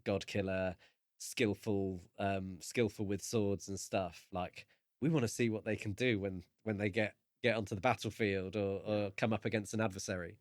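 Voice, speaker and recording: A man co-hosting a podcast, talking through a book casually in thoughtful, halting phrases. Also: fairly low pitch, steady tone, no echo, clean studio sound. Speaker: podcast co-host.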